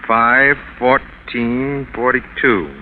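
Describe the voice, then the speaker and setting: An actor playing a plodding, puzzled police inspector in a 1940s radio drama, plain-spoken and deliberate. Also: male voice, medium pitch, little pitch movement. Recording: tinny, hissy 1940s radio recording.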